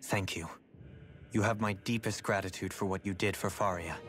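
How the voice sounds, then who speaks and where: An actor playing a guilt-ridden, gentlemanly young noble with formal, measured, grave diction in a dramatic video game. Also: male voice, low voice, fairly even intonation, soft background music. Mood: grateful.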